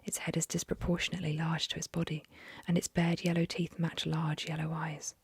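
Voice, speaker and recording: A young woman whispering an ASMR story reading into a close mic, softly, with gentle fillers. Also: sits low, monotone, brisk, breathy edge. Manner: soft whisper, chatty with fillers after the story.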